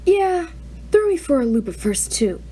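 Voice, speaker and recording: Chatty, friendly soldier guy, mellow voice; woman reads it. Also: high voice, big pitch swings, normal speed, a little hiss or hum, voice pushed up. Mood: easygoing. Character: friendly grinning male soldier hero.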